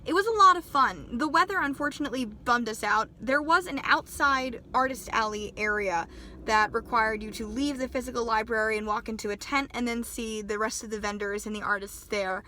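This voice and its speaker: A woman's voice: on the high side, a little fast, pushed and bright, slight background noise. A woman in her twenties chatting casually in a vlog, her voice still off from a sinus infection, friendly and self-deprecating. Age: 20s. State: recovering from a sinus infection, voice not back to normal. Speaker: cosplay vlogger.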